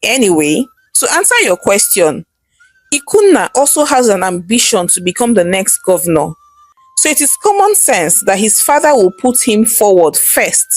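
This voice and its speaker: An easygoing, affectionate husband voiced by a female narrator explains patiently with expressive intonation. It is storybook dialogue with faint music underneath. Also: mid-range voice, moderate pace. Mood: fond, explaining. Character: calm, easygoing husband, aspiring politician.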